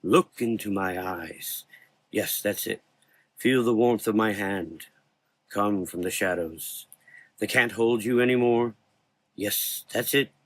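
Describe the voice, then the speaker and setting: A man's voice: on the low side, slow pace. A man playing a mysterious gothic figure with a gift for minds, speaking in a soothing, coaxing, hypnotic way in an audio drama.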